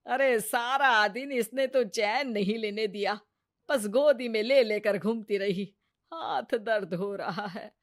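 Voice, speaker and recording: A grumbling, self-pitying mother-in-law, voiced by a woman for a cartoon story. She scolds and moans in a slightly high voice. The recording is dry and close-miked. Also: slow pace, no room sound. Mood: complaining. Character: scolding middle-aged Indian mother-in-law, complaining. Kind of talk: cartoon voice acting.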